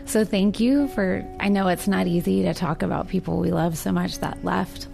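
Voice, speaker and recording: American woman in her forties, creaky voice. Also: lowish voice. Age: 40s. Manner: earnest, reflective. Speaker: participant in a recorded one-on-one conversation. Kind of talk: sincere personal conversation.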